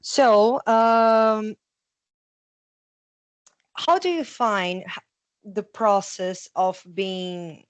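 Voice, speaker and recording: A woman's voice: mid-range voice, fairly bright tone, no room sound. A woman hosting a podcast interview, speaking as an immigrant, hesitant and searching for words but supportive. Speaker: podcast host, immigrant to Nova Scotia.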